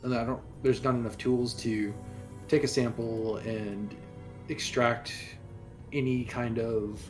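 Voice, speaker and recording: A man's voice: medium pitch, fairly even intonation, takes it slowly. A man taking part in a role-playing game, moving between casual table talk and speaking as his character. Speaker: tabletop role-play player. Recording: music playing behind the voice. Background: music.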